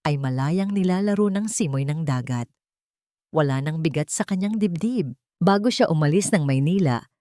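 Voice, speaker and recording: A woman's voice: fairly low pitch, warm tone, dry recording, clean studio sound. A woman reading a scripted romance story as its narrator.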